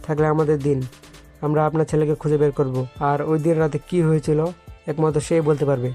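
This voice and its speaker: A man's voice: fairly high pitch, level tone, fairly quick, warm tone, faint music behind, close to an everyday voice. A man playing a firm, no-nonsense police officer in a dramatized audio story. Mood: stern.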